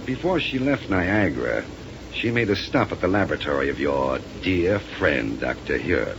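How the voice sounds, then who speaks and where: An actor playing a mocking, threatening space villain in a 1930s radio serial, condescending and menacing. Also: male voice, deep voice, sing-song, normal speed, muffled recording. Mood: smug scheming. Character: sneering, threatening space villain with a rocket pistol. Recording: noisy 1930s radio recording.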